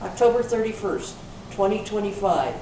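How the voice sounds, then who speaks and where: A woman reading the part of a brisk, authoritative police sergeant in an amateur readers theater, matter-of-fact in manner. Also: mid-range voice, expressive, close to an everyday voice. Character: brisk female police sergeant closing a case. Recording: echoey room from far off, clear noise.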